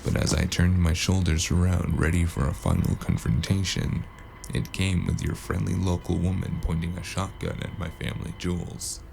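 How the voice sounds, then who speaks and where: Very deep voice, gravelly, man, dry wry noir narration, loud music underneath. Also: moderate pace, a little hiss or hum. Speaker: narrator and lead character. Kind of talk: noir audio drama narration. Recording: music score playing underneath.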